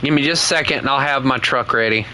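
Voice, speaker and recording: A man's voice: medium pitch, quick pace, slight background noise, low-quality recording. A man with a Southern US accent, casually commenting to viewers while playing a truck game. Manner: relaxed, chatty, talks to viewers as he plays. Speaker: game streamer.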